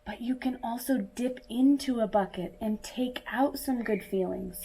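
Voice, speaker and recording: A woman reading a picture book aloud to young children in a deliberate, teacherly manner, as if speaking directly to her listeners. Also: on the high side, dark tone, slight background noise, poor audio. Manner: asks listeners questions and pauses for answers. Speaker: children's story reader.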